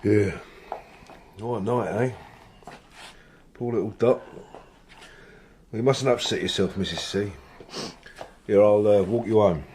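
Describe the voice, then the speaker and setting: Slow-talking coarse London husband. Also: male voice, on the low side, steady tone, darkish tone, slight background noise. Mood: dismissive. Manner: blunt, colloquial, coarse. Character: brutish working-class husband, coarse, falsely soothing. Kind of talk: radio drama acting.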